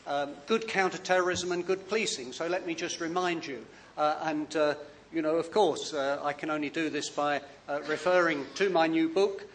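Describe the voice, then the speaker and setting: A man giving a public talk as an author, hesitant, with many fillers and restarts, often speaking quietly. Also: a little high, slight background noise.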